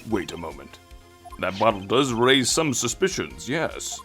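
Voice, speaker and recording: A man's voice: lowish voice, sing-song, measured pace, a bit bright. A man voicing a pompous, befuddled elderly judge with a formal, bumbling manner in a courtroom game fan dub. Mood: solemn. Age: older character. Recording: background music under the voice.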